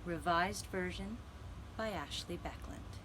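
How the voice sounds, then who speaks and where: A woman telling a gentle children's story for a puppet show, with deliberate, dramatic phrasing and pauses. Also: lowish voice, noisy background.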